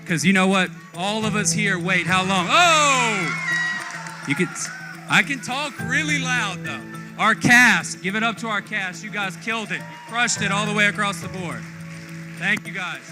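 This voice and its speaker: A man's voice: high-pitched, expressive, moderate pace. A man giving an effusive, informal thank-you speech on stage as an award winner, speaking off the cuff. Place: on stage in a theatre. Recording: play-off music over stage speech. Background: music playing.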